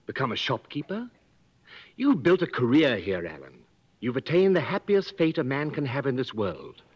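Very animated, coaxing colonial official. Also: male voice, medium pitch, moderate pace, breathy edge. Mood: persuasive. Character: persuasive, concerned colonial government official, friend to the guide. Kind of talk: 1950s radio drama acting. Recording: muffled old radio broadcast.

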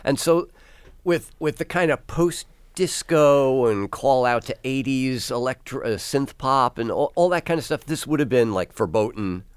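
A man hosting a music interview on the radio, speaking conversationally with halting, repeated phrasing. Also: medium pitch, expressive, smooth, no room sound. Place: radio studio.

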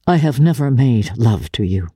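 A woman playing an earnest, lovestruck young gentleman who speaks hesitantly and intensely in a dramatic audiobook reading. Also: extremely low pitch, lively intonation, breathy edge, no echo, clean studio sound, heavily acted. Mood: grave, intense. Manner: halting, earnest, repeating words.

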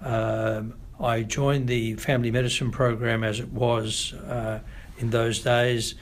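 A middle-aged Australian man, a family doctor, answering interview questions in a measured, thoughtful way with frequent pauses. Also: medium pitch, flat delivery. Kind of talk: podcast interview.

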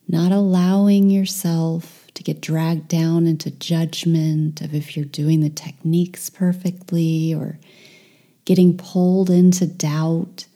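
A woman leading a guided meditation in a soft, breathy, near-whispered voice, gentle and soothing with long pauses. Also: on the low side.

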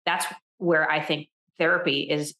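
A middle-aged woman talking candidly as a guest on a podcast interview, animated and quick to laugh, often repeating words as she restarts a thought. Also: low-pitched. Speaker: podcast guest, leadership coach. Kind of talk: conversational interview.

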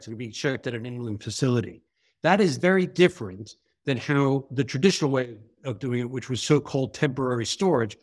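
New York-accented American man. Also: medium pitch. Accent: American, New York area. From New York area, USA. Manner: fluent, explanatory, businesslike. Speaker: port executive. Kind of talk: interview answers.

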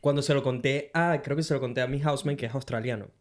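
A man with a Venezuelan accent hosting a casual podcast interview, animated, slangy and quick to laugh. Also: medium pitch. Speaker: podcast host.

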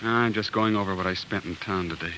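Actor as low-voiced, stubborn young homesteader. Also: male voice, a little fast, noisy background, natural voice. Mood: worried. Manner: earnest, plain-spoken. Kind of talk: 1940s radio drama acting.

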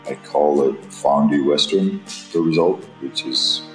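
A man from Switzerland talking casually and reflectively as a songwriter, in a halting, thoughtful way. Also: extremely low pitch, echoey room, soft background music, poor audio. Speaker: singer-songwriter. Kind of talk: reflective, casual interview talk.

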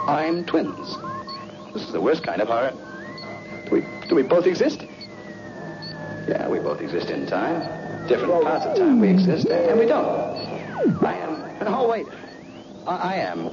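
Terrified cosmonaut, wildly swooping voice. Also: male voice, sits high, exaggerated voice. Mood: hysterical, unhinged. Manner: halting, stammering, repeating himself. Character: lone male cosmonaut losing his mind in terror. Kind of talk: 1960s radio horror acting. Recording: old muffled radio recording, music behind.

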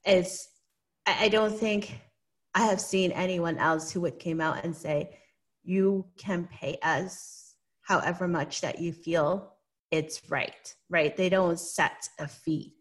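A woman's voice: fairly low pitch, slow pace. A woman from Hong Kong with a Hong Kong English accent, a guest giving earnest, explanatory answers in an interview and often checking in with "right?".

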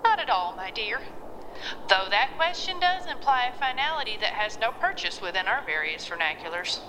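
A woman's voice: fairly high pitch, big pitch swings, noisy background. A woman playing a genteel, cryptic wife who speaks out of a radio in formal, florid phrases in an audio drama. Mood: courtly, soothing. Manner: formal, florid, unhurried address.

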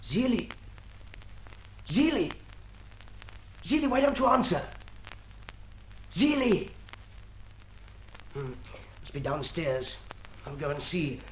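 A man's voice: high voice, sing-song, takes it slowly, long pauses, slight background noise, heavily acted, low-quality recording. A man playing a foppish, dithering upper-class silly ass with fussy, affected slang in a radio crime serial. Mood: anxious calling.

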